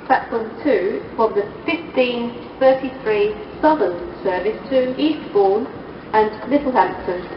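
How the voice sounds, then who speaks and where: British lady doing station announcements, higher voice, muffled noisy recording. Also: measured pace. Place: railway station platform. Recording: echoey, distant, muffled platform recording, noisy.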